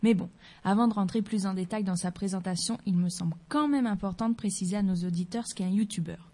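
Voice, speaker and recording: A woman's voice: medium pitch, fast, mellow. A woman columnist on a local radio show presenting a portrait segment, speaking directly to listeners with playful asides.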